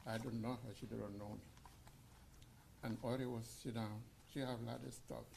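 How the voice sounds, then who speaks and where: Senegalese-accented man, sixties, creaky voice, halting non-native English, storytelling tribute, faint background noise. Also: mid-range voice, steady tone, a little mellow. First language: a language of Senegal. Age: 60s. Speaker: mourner giving a tribute. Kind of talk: informal spoken tribute, anecdote.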